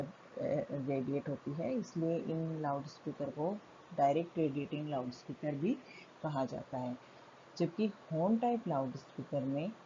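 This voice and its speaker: A woman with an Indian accent giving an explanatory technical lecture as a college teacher, in a methodical, halting way. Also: low voice. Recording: muffled lo-fi audio with loud background noise.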